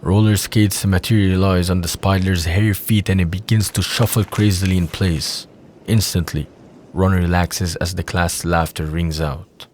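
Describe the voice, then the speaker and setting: A man's voice: sits low, steady tone, moderate pace, a little mellow, a little hiss or hum. A man reading scripted scene narration for an audio drama.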